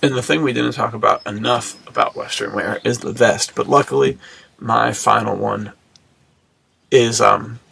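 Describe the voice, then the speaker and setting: A man's voice: mid-range voice, steady tone, normal speed, vocal fry, fairly bright tone, slightly rough, poor audio. An American man co-hosting a podcast in a casual, slangy, rambling way, slipping into imagined remarks as he goes. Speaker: podcast co-host. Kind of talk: casual chat.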